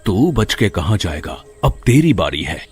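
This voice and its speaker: Casting: male actor as menacing ghost-bear out for revenge, rough husky voice, accusatory, cartoon horror tale. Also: fairly low pitch, very animated, a little slow, heavily acted. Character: vengeful ghost of a young man inside a bear. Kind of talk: dramatic Hindi animated story acting.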